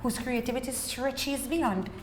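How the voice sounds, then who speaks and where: A woman's voice: fairly high pitch, steady tone, unhurried, a little hiss or hum. A woman hosting a podcast, upbeat and putting emphasis on her intro. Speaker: podcast host. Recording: echoey hall with long reverb.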